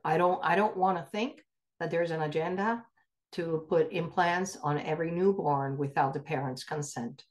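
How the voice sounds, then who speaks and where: A woman co-hosting an advocacy podcast, talking unscripted in an earnest, emphatic way and often pausing mid-sentence. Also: sits low.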